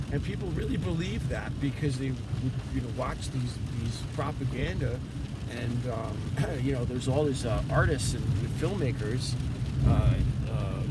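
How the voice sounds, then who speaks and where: A man rambling in a vlog monologue, halting often with fillers and restarts. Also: medium pitch, very noisy. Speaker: vlogger.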